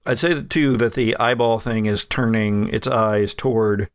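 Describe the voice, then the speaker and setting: A man narrating a tabletop game as game master, relaxed and chuckling, with many fillers. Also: fairly low pitch, moderate pace, clear, resonant tone, no echo, clean studio sound.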